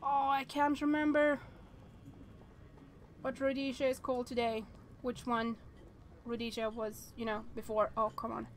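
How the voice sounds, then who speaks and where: A woman's voice: a little high, level tone, unhurried, pauses often, slight background noise. A woman in her thirties streaming a visual novel, chatting and thinking aloud with hesitant restarts. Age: 30s.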